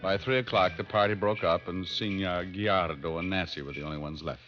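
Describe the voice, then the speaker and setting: An actor playing a wisecracking, easygoing ex-cop private detective in an old radio drama, with a wry, offhand delivery. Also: male voice, sits low, fairly even intonation, brisk, lightly acted. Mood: wry narration. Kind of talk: 1940s radio detective acting, narration and dialogue. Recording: dull old radio recording, music behind.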